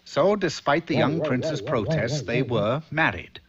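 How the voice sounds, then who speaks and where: A man's voice: medium pitch, lively intonation, unhurried, a loud crowd. A man narrating a comic fairy tale for a cartoon in a storybook style. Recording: dull old cartoon soundtrack, faint noise.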